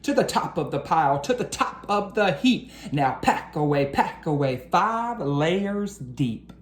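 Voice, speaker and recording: Slow, low-voiced woman reading a children's story. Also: lively intonation. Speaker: educator reading aloud to children. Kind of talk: storybook read-aloud for children. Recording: roomy echo with faint background noise.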